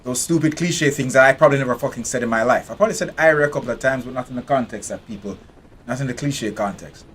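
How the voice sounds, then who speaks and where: A man's voice: mid-range voice, fast, a bit bright, slight background noise. A man from Jamaica, giving blunt, profane live commentary while streaming a game.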